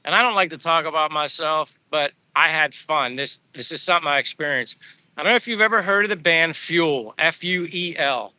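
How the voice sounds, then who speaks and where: A man in his fifties from Pennsylvania, rambling in casual live chat and reading out viewer comments, his voice going hoarse from talking. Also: on the high side, fairly quick, pushed and bright, no echo. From Pennsylvania, USA. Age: 50s. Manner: rambling, chatty, answers viewers by name. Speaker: livestream vlogger. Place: outdoors on a walk.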